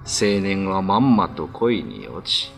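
A man narrating a scripted ghost story for a radio drama in a deliberate, theatrical way. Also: sits low, sing-song, gravelly, soft background music.

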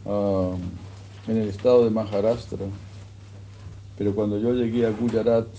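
A man giving a sermon, retelling a scripture story in a slow, measured way. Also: lowish voice, flat delivery, mellow, lo-fi sound, a little hiss or hum. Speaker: religious speaker.

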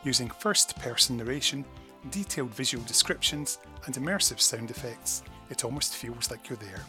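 A man's voice: medium pitch, bright, forceful tone. A man hosting a podcast, upbeat and asking his guest short questions. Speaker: podcast host. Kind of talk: friendly interview hosting.

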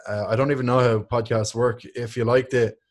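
A man's voice: mid-range voice, level tone, brisk. Joking, rambling young man. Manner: rambling, swearing, joking banter. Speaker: podcast co-host. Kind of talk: casual chat.

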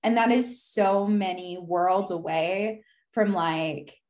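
A woman's voice: fairly low pitch, takes it slowly, a little mellow. A young woman from the United States, a podcast guest speaking candidly in a casual, reflective way, with lots of "like" fillers. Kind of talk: candid conversational interview.

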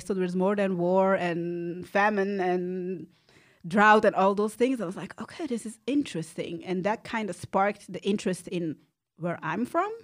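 A woman from Belgium with a Belgian Dutch accent and Dutch as her first language, telling her own story casually and animatedly, acting out quoted reactions. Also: mid-range voice. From Belgium, born in Rwanda. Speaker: podcast guest sharing personal experience.

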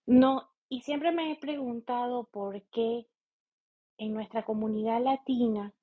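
A Venezuelan woman hosts a podcast in a fairly high voice. She speaks very slowly and haltingly, thinking aloud in an informal, reflective monologue. The sound is slightly dull. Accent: Venezuelan Spanish. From eastern Venezuela. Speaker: podcast host.

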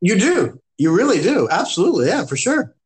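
High-voiced man, halting and easygoing. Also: big pitch swings. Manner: halting, many restarts, laughs easily. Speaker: podcast co-host. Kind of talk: casual chat.

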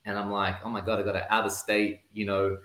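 A man's voice: on the low side, little pitch movement, fairly quick, a bit bright, reverberant. A man with an Australian accent, a podcast guest telling his story casually with lots of fillers and restarts. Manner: rambling, many "you know" fillers and restarts. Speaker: podcast guest, real estate investor.

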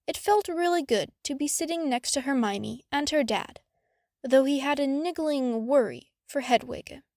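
High-voiced woman narrating a story. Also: normal speed, a little mellow, no room sound, studio quality. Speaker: fan fiction audiobook narrator.

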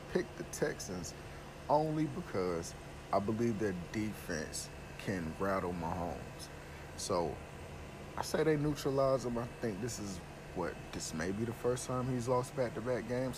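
A man's voice: on the low side, noisy background. A man casually giving football game predictions, blunt and offhand, often correcting himself mid-sentence. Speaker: sports vlogger.

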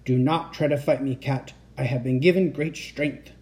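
A reader voicing a menacing male robot guardian with a flat, mechanical delivery, in a children's storybook. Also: mid-range voice, normal speed, lightly acted. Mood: stern.

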